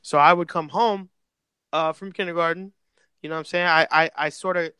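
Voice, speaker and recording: Casting: laid-back man, native Spanish speaker, bright and fairly high voice, dry close sound, rambling slangy storyteller. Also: steady tone, a little fast, smooth, no echo. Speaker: podcast guest. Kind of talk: casual chat.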